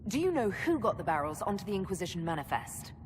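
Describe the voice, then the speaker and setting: A woman playing a composed heroine leader in a video game, asking questions in a measured, diplomatic manner. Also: medium pitch, very animated, slight background noise, close to an everyday voice. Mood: businesslike. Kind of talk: video game drama acting.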